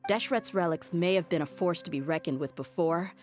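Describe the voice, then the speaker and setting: A woman voicing a tough female desert mercenary with a slightly low voice. She is blunt and self-assured, in a game cutscene with soft music behind her. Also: brisk, close to an everyday voice. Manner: blunt, confident. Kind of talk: game voice acting.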